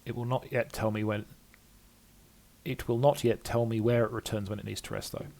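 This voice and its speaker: Man voicing an anxious, gravelly, muttering scholar. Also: on the low side, steady tone, normal speed, darkish tone. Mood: agitated. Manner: rambling, self-repeating, fretful. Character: anxious, muttering male scholar doubting his own sanity. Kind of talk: dramatic reading of game journal entries.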